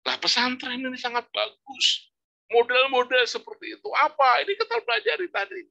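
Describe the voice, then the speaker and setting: Bright-voiced older professor telling stories. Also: male voice, extremely high pitch, normal speed, gravelly, muffled recording. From Indonesia. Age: 60s. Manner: storytelling, lively rising emphasis, religious exclamations. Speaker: psychology professor giving a talk. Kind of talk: informal religious study lecture.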